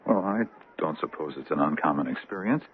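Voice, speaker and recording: A man's voice: a bass voice, lively intonation, brisk, a little hiss or hum. A man playing a deferential, evasive junior bank assistant in an old-time radio drama, polite and hesitant. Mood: uneasy, careful. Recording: tinny band-limited radio drama recording.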